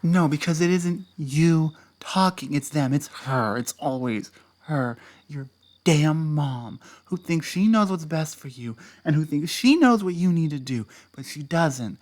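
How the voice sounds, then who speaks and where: A woman voicing an obsessive, possessive ex-partner in an audio roleplay, speaking softly and dropping into whispers before flaring up. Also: low voice, animated, measured pace. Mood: unhinged. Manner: soft-spoken, drops into whispers, flares up. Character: obsessive possessive ex-partner, just released, menacing.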